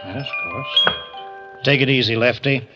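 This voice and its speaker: A man's voice: medium pitch, a little slow, close to an everyday voice. Male actor as old asylum chief, courteous and cautious, 1940s radio drama, muffled recording over music. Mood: soothing, probing. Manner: polite, soothing, formal.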